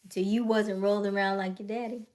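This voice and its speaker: A woman in her late thirties hosting a casual livestream chat, teasing and playful, slipping into quiet asides. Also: medium pitch, steady tone, brisk. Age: late 30s. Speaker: livestream host. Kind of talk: casual live chat with viewers.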